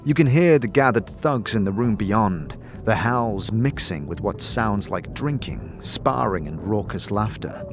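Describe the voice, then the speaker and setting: A man giving dramatic, descriptive second-person narration for a fantasy audio drama, deliberate and theatrical. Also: lowish voice, lively intonation. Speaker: narrator and game-story performer. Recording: faint music behind the voice. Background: faint music.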